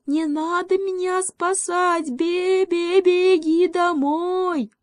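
A woman's voice: high-pitched, expressive, no room sound, clean studio sound, pitched above the performer's own voice. A woman voicing a timid but brave little male goat kid in a children's story, bleating and crying out. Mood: desperate pleading. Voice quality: bleating, thin. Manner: crying out, drawn-out pleading.

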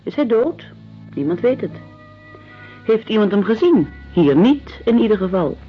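Radio play role: troubled young woman, earnest and reserved, medium pitch, muffled sound. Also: sing-song, unhurried. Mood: anxious, despairing. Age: young adult. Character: anxious young woman searching for her exiled brother. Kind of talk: radio drama acting. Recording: dull radio recording with music.

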